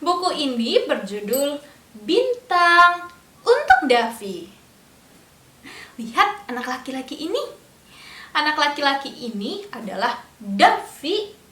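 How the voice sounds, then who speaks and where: A woman's voice: high voice, big pitch swings, pushed and bright, distant mic. Sing-song woman storyteller for young children. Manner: slow sing-song delivery, asks the children questions. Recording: echoey room, a bit off-mic.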